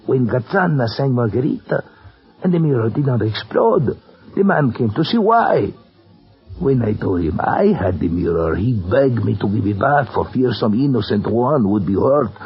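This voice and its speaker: An actor playing a fawning, sinister old prop keeper in a 1940s radio drama, with a gravelly, halting, theatrical delivery. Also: male voice, medium pitch, big pitch swings, exaggerated voice. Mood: insinuating. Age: older. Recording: muffled old radio broadcast, faint music.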